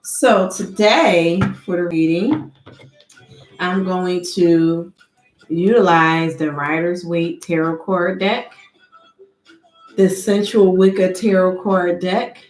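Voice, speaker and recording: A woman giving a tarot reading who talks slowly and deliberately, sniffling from allergies, and calls on spirits in a measured, invocation-like way. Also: on the low side, fairly even intonation, echoey room, poor audio. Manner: slow, soft and deliberate, with an invocation-like cadence. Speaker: tarot card reader.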